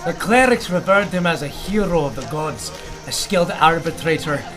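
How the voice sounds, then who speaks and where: A man's voice: a little high, expressive, normal speed, over music, natural voice. A man playing a blunt, sardonic dwarf adventurer in a fantasy audio drama, given to needling remarks and archaic turns of phrase. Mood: earnest. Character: gruff male dwarf adventurer, sardonic and plainspoken.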